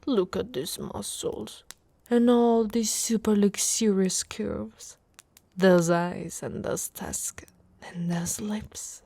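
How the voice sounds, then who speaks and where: A woman's voice: mid-range voice, steady tone, slow pace, gravelly, warm tone, no room sound. A woman from Italy voicing an affectionate, playfully boastful orc warrior wife in an intimate, teasing ASMR roleplay, often dropping to a whisper. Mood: flirty, admiring.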